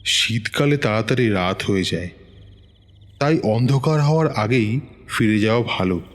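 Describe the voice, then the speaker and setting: A man narrating a first-person horror tale in a measured, suspenseful manner. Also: on the low side, animated. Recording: long reverb, music underneath.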